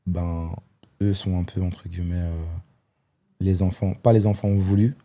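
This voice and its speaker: Guest, man, 30s: low, warm, dark voice; hesitant, thinking aloud. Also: steady tone, a little slow. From Belgium. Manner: reflective, hesitant with many fillers. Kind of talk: personal testimony in conversation.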